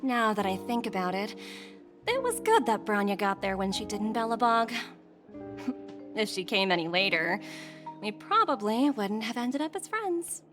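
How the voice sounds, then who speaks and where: A woman's voice: medium pitch, very animated, moderate pace, fairly bright tone. A woman voicing a friendly, youthful adventurer girl in an anime-style video game. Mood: musing. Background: background music.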